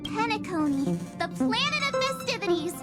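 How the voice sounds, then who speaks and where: Very high-pitched, bubbly teenage girl. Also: normal speed. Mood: cheerful, eager. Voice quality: high, girlish. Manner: chirpy, expressive. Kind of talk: video game voice acting. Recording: roomy game audio under loud music.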